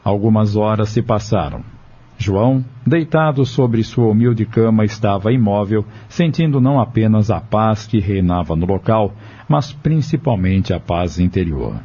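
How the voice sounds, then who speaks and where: Low, mellow Brazilian male narrator. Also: animated, a little slow, breathy edge. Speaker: radio drama narrator and announcer. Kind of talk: scripted narration. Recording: dull lo-fi radio recording with music.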